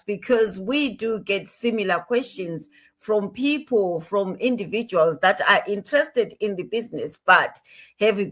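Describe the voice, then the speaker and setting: A woman's voice: mid-range voice, a bit bright. Encouraging woman from Zimbabwe. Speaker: guest speaker. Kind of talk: motivational online talk.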